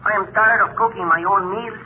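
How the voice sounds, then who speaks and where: An actor playing an amiable, lovestruck farmhand with a Mexican accent and florid broken English in a 1940s radio comedy. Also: male voice, sits high, steady tone, fairly quick, close to an everyday voice. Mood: eager, flirtatious. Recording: tinny, hissy 1940s radio recording.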